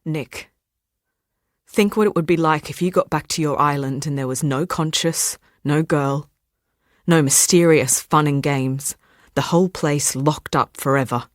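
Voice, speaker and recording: Casting: despairing young woman, radio play; slow, dry-sounding, mournful reading of a farewell note. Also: deep voice, dry recording. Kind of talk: radio drama acting of a read letter.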